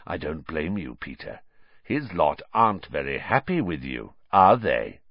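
A man's voice: very deep voice, very animated, no echo, lo-fi sound, pitched below the performer's own voice, full character voice. A man reading an audiobook story, voicing a bitter, vengeful male fugitive with a growling, hissing, contemptuous delivery. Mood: taunting. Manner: slow, taunting, contemptuous.

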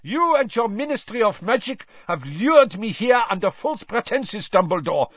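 A pompous, livid headmaster in a storybook reading. The voice is pitched well up and swings widely, declaiming with indignant contempt. The sound is slightly dull. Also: male voice, very animated, normal speed, slightly rough, no room sound, heavily acted. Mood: livid, accusing. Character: livid middle-aged foreign headmaster, pompous accuser, bellowing.

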